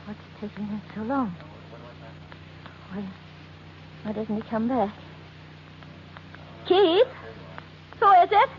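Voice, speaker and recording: An actress playing a frightened wife with a secret, anxious, halting and pleading, in an old-time radio melodrama. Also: female voice, on the high side, big pitch swings. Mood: nervous. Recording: muffled, noisy old radio recording.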